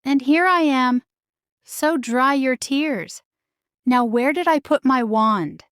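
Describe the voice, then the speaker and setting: A woman's voice: high-pitched, takes it slowly, fairly bright tone, clean tone, dry recording, clean studio sound, pitched above the performer's own voice. A woman voicing a kindly, urgent fairy godmother from a storybook, with a motherly, exclamatory manner. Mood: soothing.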